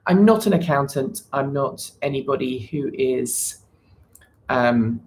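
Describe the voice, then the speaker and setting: A woman's voice: extremely low pitch, animated, slow, darkish tone. A woman hosting a casual livestream, talking to viewers with many fillers and restarts. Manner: chats with viewers, reads the chat. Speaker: livestream host.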